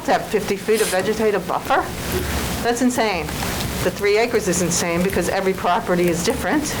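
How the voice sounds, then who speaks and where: A woman speaking as a resident at public comment in a township meeting, blunt, indignant and emphatic. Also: medium pitch. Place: indoor township meeting room. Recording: clearly noisy public meeting room recording.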